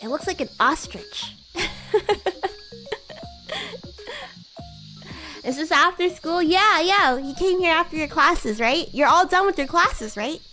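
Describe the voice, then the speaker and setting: Woman playing a high, girlish bratty demon schoolgirl. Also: animated, moderate pace, sharp tone, soft background music, heavily acted, poor audio. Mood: curious, casual. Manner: playful, giggly, teasing. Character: bratty young demon schoolgirl class president with baby wings. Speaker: VTuber streamer. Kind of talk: in-character roleplay livestream chat.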